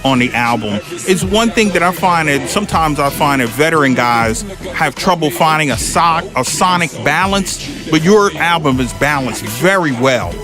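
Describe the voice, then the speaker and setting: A man hosting a casual interview, asking measured, encouraging questions and complimenting his guest. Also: on the high side, expressive, music in the background. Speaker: interview host.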